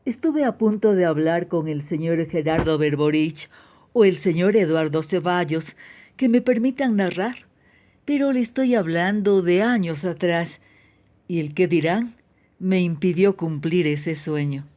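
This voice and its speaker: A woman's voice: fairly low pitch, a little slow, dark tone. An older woman giving a reflective personal account. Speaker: aspiring sports narrator.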